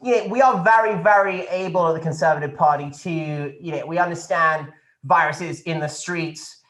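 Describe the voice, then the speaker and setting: A man's voice: fairly high pitch, normal speed, a bit bright, reverberant, natural voice, rough recording. A man playing a pompous, flippant posh government minister who rambles and stumbles over a video call. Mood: smug. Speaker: politician taking questions. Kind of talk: satirical press conference sketch.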